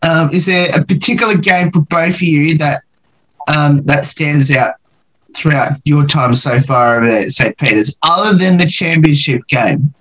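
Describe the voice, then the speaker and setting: A man hosting a radio interview over a video call, asking measured questions from a small room. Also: on the high side, a bit bright, breathy edge, rough recording. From Australia. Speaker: radio show host. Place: small room at home, indoors.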